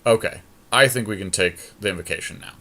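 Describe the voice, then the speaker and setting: A man's voice: on the low side, brisk, bright, forceful tone. A man co-hosting a podcast, thinking aloud in casual, slangy commentary as he plays a card game draft. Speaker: podcast co-host.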